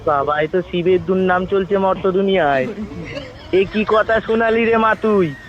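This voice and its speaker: A man's voice: high voice, natural voice. A man voicing the god Shiva as a bossy, teasing, mock-grand prank caller in an improvised comic phone call. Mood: teasing, mock-shocked. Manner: mock-grand, teasing, scolding, drawn-out words. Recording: muffled, noisy phone call recording.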